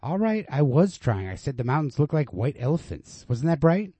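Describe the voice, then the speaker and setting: Guy doing a wry restless young woman in a story, needling, mellow, pitched up a bit. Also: big pitch swings, normal speed, breathy edge, no echo. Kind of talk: storybook read-aloud dialogue.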